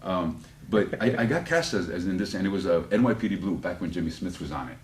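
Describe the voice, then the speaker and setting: Fast-talking deep-voiced man, slight Spanish accent. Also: reverberant. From United States. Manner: rambling, restarts, animated storytelling. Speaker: actor, interview guest. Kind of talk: casual interview chat.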